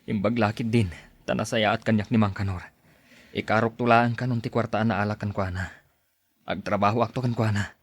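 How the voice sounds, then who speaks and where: A man playing an earnest, husky, low-voiced husband. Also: steady tone, a little fast, no echo. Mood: concerned. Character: hard-pressed working husband and father, earnest, dutiful. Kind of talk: podcast drama dialogue.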